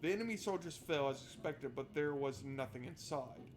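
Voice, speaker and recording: Higher-pitched man, sarcastic game commentary. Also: lively intonation, normal speed. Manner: sarcastic, exasperated asides. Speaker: video game streamer. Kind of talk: let's play commentary with read-aloud narration. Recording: noticeable background noise.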